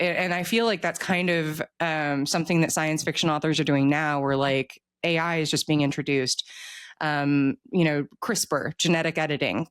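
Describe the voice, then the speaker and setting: Woman chatting casually, wry and joking. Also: sits low. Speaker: author, interview guest. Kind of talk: casual interview chat.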